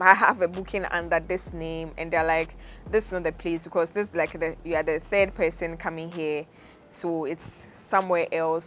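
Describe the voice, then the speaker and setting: A young woman with a Ghanaian accent, hosting a podcast and telling a personal story in a relaxed way, laughing often as she goes. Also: fairly low pitch, a little hiss or hum. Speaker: podcast host. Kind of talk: casual storytelling.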